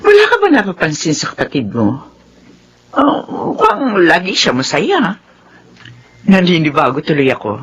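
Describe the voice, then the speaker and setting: An actress playing a suspicious, strict middle-aged Filipino mother with a husky voice in a radio soap opera. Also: fairly low pitch, wildly swooping pitch, normal speed, pauses often.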